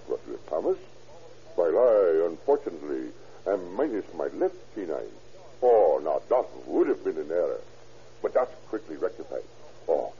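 A man's voice: low voice, mellow, full character voice. An actor playing a scheming, gloating German doctor who murders his friend, with a German accent and a cackling laugh, in an old radio drama. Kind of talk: 1940s radio acting. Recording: muffled old radio recording with faint hiss.

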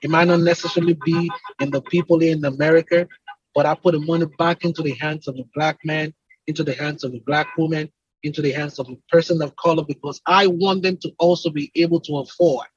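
A man's voice: on the high side, steady tone, fairly quick, slightly rough, no echo, lo-fi sound. A Nigerian-accented man speaking as an entrepreneur in a conversational interview, explaining at length with emphatic, repeated lists. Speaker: entrepreneur and company CEO, podcast guest.

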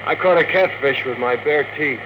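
A man's voice: mid-range voice, moderate pace, lightly acted. Man acting wisecracking crewman, noisy old radio. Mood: wry. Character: wisecracking male spaceship crewman. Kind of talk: classic radio drama acting.

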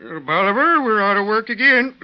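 An actor playing a harmless, easygoing old cowhand with folksy talk in an old-time radio western. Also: male voice, high-pitched, gravelly, clear, resonant tone, heavily acted. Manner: folksy drawling cowboy talk. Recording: dull vintage radio recording.

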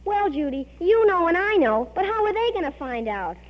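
A woman's voice: extremely high pitch, very animated, normal speed. An actress playing a wide-eyed, skeptical American teenage girl sidekick in a 1940s radio comedy, blunt and slangy. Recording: dull old radio recording.

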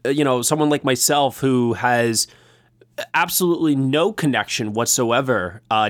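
A man's voice: medium pitch, fairly bright tone, dry recording. A man hosting a film review discussion, speaking in a wordy, emphatic way. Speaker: podcast host.

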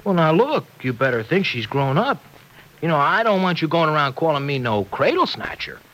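A man's voice: fairly high pitch, sing-song, moderate pace, a bit bright. An actor playing an earnest young man in love, casual and a little bashful, in 1940s radio serial acting. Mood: earnest, insistent. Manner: casual, bashful, hesitant when flustered. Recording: faintly noisy old radio recording.

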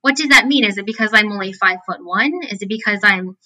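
A woman in her thirties with a squeaky, slightly nasal voice. Her tone is bright, and she talks casually and animatedly, with plenty of fillers and little acted-out quotes. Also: fast. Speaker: guest, fitness scientist. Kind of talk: casual interview chat.

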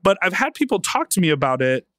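A man in his thirties with a somewhat higher voice, talking candidly and loosely with plenty of "like"s. The sound is dry and close, with no room around it. He sounds like a host leading a relaxed chat. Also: quick pace, no room sound. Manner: chatty, filler-heavy, candid. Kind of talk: casual conversation.

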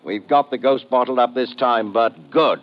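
An actor playing a composed, businesslike male colleague in a 1950s radio adventure, crisp and matter-of-fact. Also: mid-range voice, normal speed, lightly acted. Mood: urgent report. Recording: muffled radio recording, boat engine noise.

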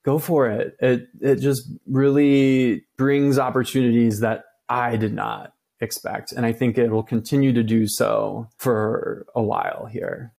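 A man's voice: medium pitch, fairly even intonation, a little slow, creaky, few pauses, darkish tone. A man in his thirties from the American Midwest, a Mandarin teacher chatting casually and enthusiastically as a podcast guest.

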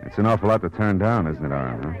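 An American actor playing a tough, wry private detective in a 1940s radio drama, with a clipped, sardonic delivery. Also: male voice, sits low, lively intonation, fast talker. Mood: wary, considering. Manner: hard-boiled, clipped, sardonic. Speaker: lead actor and first-person narrator. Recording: old radio broadcast with music behind.

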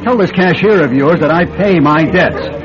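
A man's voice: fairly high pitch, normal speed, crowd noise, natural voice. A man acting in an old-time radio drama as a proud, defensive film star, irritable and evasive, with a blustering manner. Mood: indignant. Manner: indignant, blustering. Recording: muffled, noisy old radio recording.

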